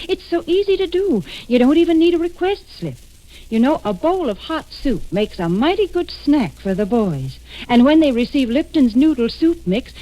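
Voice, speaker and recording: Woman, vintage radio ad, animated intonation, soft mellow tone, folksy, chiding co-host. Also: fairly high pitch, poor audio. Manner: chiding, folksy, sales-minded. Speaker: commercial spokeswoman and co-host. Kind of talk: 1940s radio advertising.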